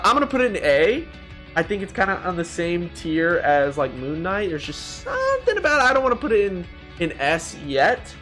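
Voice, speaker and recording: A young man with a slightly high voice, set over music. He gives casual gaming commentary as a video host, opinionated and full of slang and swearing. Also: sharp tone. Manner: slangy, profane, opinionated, exclaiming. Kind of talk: casual tier-list commentary.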